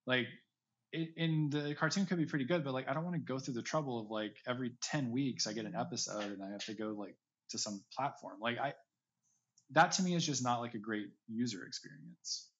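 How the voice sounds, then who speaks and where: A man with a mid-pitched voice talks casually as an interview guest. He is opinionated and reasons through examples in a conversational way. The audio is a little dull and lo-fi. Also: fairly quick, a bit bright. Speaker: podcast guest, audio drama creator.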